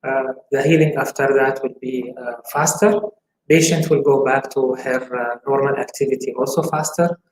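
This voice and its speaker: A man from Syria in his forties, a gynecologist giving interview answers in a halting way with many filler pauses. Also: medium pitch, fairly even intonation. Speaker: gynecologist guest. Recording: echoey room, faint hiss.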